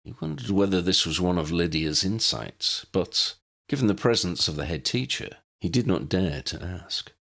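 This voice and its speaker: A man's voice: low voice, lively intonation, moderate pace, gravelly, a bit bright. A man narrating a scripted audiobook story.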